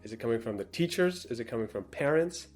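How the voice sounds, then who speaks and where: A man's voice: medium pitch, animated, fast talker, a little mellow, faint music behind. A man co-hosting a casual talk show, reading out a viewer's letter and adding dry, matter-of-fact comments between passages. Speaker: co-host.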